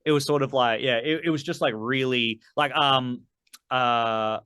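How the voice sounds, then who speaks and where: A man in his thirties talks through his story in a loose, meandering way, stacking up filler words and dropping casual curses. His voice sits at an ordinary middle pitch. The recording is dry and close, with no room sound around him. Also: steady tone, measured pace, bright, forceful tone, clear, resonant tone, dry recording. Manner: rambling, filler-heavy, swears casually. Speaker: podcast guest, metal vocalist. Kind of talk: casual interview chat.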